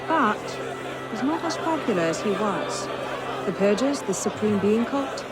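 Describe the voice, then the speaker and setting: A determined conspirator from a historical video game. The voice is high and purposeful, set in a loud crowd with music. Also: male voice, big pitch swings, measured pace. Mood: scheming. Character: determined, scheming Templar conspirator in revolutionary Paris. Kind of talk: cinematic video game acting.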